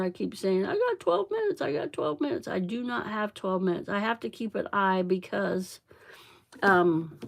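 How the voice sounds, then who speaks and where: Slow, rambling Central Illinois woman. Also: medium pitch, a little hiss or hum. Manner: rambling, chatty, laughs often, mutters to herself. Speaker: craft livestream host. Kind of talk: casual chat while crafting.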